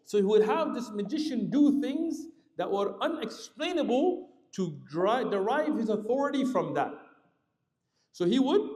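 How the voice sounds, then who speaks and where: A man preaching a sermon, telling a story with lively explanatory asides. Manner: animated, lively pitch swings, explanatory asides. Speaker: Islamic preacher. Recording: roomy hall reverb.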